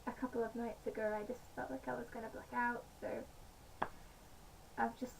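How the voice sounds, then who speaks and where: A woman with a slightly croaky voice, chatting casually in a home vlog with many pauses and fillers. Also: fairly high pitch, flat delivery. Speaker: vlogger. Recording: distant mic, faint background noise.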